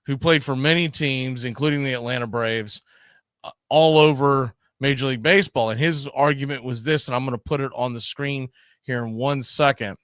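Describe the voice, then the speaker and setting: A man's voice: mid-range voice, moderate pace, fairly bright tone, clear, resonant tone, no echo, clean studio sound. A man hosting a baseball talk show in a casual, rambling way. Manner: informal, rambling. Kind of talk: casual live show chat.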